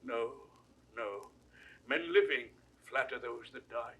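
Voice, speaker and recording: A man's voice: medium pitch, fairly even intonation, slow, sharp tone. An actor playing a bitter, defiant old dying nobleman in declamatory 1960s Shakespearean audio drama style. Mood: bitter, weary. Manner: declamatory, impassioned.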